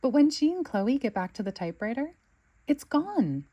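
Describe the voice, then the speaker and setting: A woman reading a children's storybook aloud with expressive narration. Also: sits high, moderate pace, husky, dull audio. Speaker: storybook reader.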